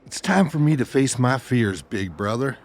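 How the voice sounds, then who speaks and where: A man's voice: mid-range voice, animated, normal speed, natural voice. A man playing an eager, challenging little brother in an audiobook, keen and questioning. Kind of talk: audiobook character acting.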